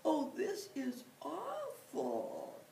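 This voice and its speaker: A woman voicing a big grumpy male bear in a storybook, with a high, breathy, sobbing voice. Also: huge pitch swings, extremely slow, a little mellow, a little hiss or hum, full character voice. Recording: roomy, distant mic.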